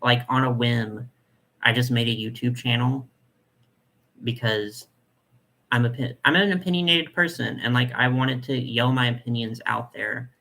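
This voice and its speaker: A young man with a nasal voice hosting a casual live chat, reading comments and talking in a chatty, soft way with lots of fillers. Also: mid-range voice, normal speed. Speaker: doll collector channel host.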